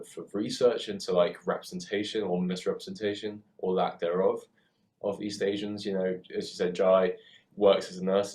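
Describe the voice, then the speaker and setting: A man's voice: sits low, a little mellow. A man in his twenties with a southern English accent, chatting reflectively in a hesitant, thoughtful way and often rephrasing himself. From UK, born in Hong Kong. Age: 20s. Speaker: theatre worker and photoshoot co-producer. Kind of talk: reflective casual chat.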